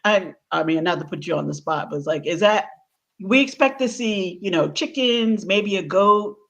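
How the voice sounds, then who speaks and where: A woman hosting a casual livestream interview, chatty and quick to laugh. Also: medium pitch, expressive, vocal fry. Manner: chatty, laughs often, restarts a lot. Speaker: host.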